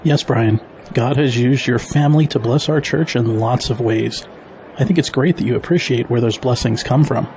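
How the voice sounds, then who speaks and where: A man acts as a wry middle-aged father in an audio drama. He speaks at an ordinary male pitch, with faint noise behind him. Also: normal speed, darkish tone, natural voice, rough recording. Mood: sincere.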